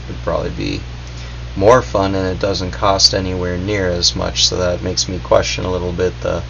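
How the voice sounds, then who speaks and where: A man's voice: low-pitched, level tone, normal speed, vocal fry, pushed and bright. A man giving a casual, unscripted gadget review in a hesitant, meandering, noncommittal way. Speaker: product reviewer. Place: indoors in a basement, at a workbench. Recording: dull audio, faint background hum.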